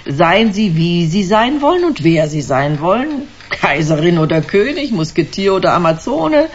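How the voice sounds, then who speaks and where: A woman's voice: lowish voice, very animated, normal speed. An actress playing a smug, commanding company director in a German sci-fi radio drama, suave and theatrical, savouring her words. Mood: seductive sales pitch. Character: smug, commanding female corporate director selling a drug. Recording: dull, hissy radio play recording.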